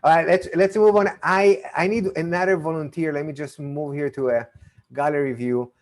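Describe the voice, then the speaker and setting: A man's voice: a little high, steady tone, moderate pace. A man who grew up in Argentina and Israel, with an accent from both, performing a magic show over a video call, chatty and playful with frequent restarts. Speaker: magician and mentalist. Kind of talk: live online magic show patter.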